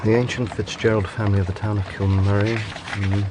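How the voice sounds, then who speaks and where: An actor playing an earnest, curious young lawyer in a period audio drama, with a measured, literary, musing delivery. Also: male voice, fairly low pitch, flat delivery, very noisy, lightly acted. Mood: musing, intrigued. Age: young adult. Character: earnest, curious young male lawyer recounting his tale.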